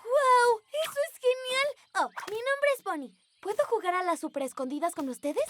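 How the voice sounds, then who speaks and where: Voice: extremely high pitch, normal speed. An actor voicing a sweet, eager young wolf girl in a Latin American Spanish cartoon dub. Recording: music behind the voice. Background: children's cartoon music.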